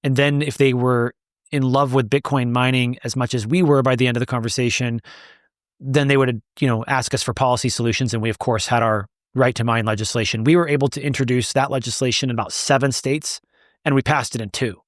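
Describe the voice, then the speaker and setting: A man's voice: mid-range voice, fairly even intonation, fairly quick, no room sound, studio quality. A man answering interview questions as the founder of an advocacy group, speaking emphatically and repeating words as he builds a point.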